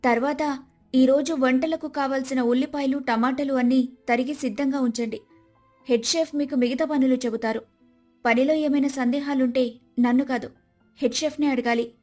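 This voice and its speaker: A woman's voice: high-pitched, fairly even intonation, normal speed, darkish tone, faint music behind. A woman voicing a firm, principled young daughter-in-law in a dramatised story, stern and composed as she gives orders. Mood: stern, commanding. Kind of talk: dramatised Telugu moral story.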